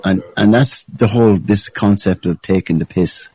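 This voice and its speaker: A man's voice: fairly low pitch, normal speed, warm tone, breathy edge. A man chatting casually and telling stories in a sweary, conspiratorial way over a lo-fi voice call. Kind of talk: casual chat. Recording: dull lo-fi call audio.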